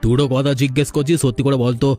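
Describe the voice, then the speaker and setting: A man's voice: medium pitch, little pitch movement, very fast, a little mellow, faint music behind, close to an everyday voice. A man acting a scheming, menacing village gang boss. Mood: bossy, suspicious. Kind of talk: cartoon voice acting in a folk-tale drama.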